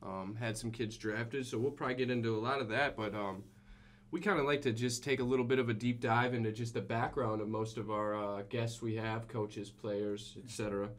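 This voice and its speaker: A man's voice: mid-range voice, fairly even intonation, fairly quick, slight background noise. A young man with an American accent, interviewing casually as a podcast host. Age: young adult. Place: small indoor room with acoustic panels. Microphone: podcast boom microphone.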